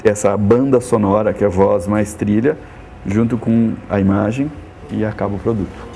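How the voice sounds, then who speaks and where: A man's voice: fairly low pitch, fairly even intonation, moderate pace, gravelly. A Brazilian man speaking informally as a producer, explaining a process step by step in a didactic way. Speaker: animation producer, interviewee.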